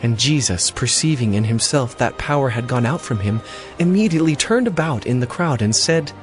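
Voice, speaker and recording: A man's voice: mid-range voice, lively intonation, fairly quick, soft background music. A man reading scripted narration for a dramatized audio Bible.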